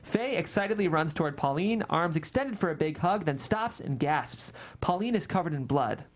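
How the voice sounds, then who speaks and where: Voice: medium pitch, animated, normal speed. A narrator reading scripted screenplay stage directions aloud at a table read.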